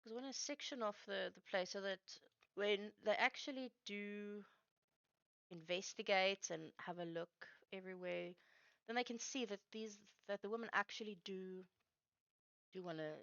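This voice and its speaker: A woman with Afrikaans as her first language talks in a casual, halting way while she works, often feeling for the next word. Her voice has a gravelly fry at a middling pitch. The sound is close and dry, though a little dull and lo-fi. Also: fairly bright tone, no room sound. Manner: halting, searches for words. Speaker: streamer building game maps live. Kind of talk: casual chat while working.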